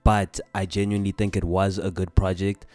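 A man from South Africa co-hosting a podcast, giving a measured, thoughtful opinion in a laid-back way. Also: low-pitched, level tone, darkish tone, dry recording, soft background music. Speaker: podcast co-host. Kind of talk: casual chat.

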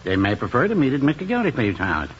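A man's voice: mid-range voice, huge pitch swings, fast talker. An actor in a 1950s radio comedy playing a mild, fussy older man with a droll, quibbling way of talking. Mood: dry, quibbling. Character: dry, mild, fussy older male small-town friend. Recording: muffled 1950s radio recording with hiss.

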